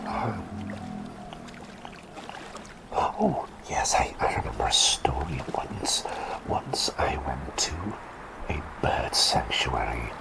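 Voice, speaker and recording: A man voicing a quirky male talking bird plague doctor, telling rambling bedtime stories in a soft ASMR whisper. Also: sing-song, slow pace, pauses often, full character voice. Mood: gentle whisper. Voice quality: deep creaky whisper. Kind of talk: ASMR roleplay bedtime storytelling.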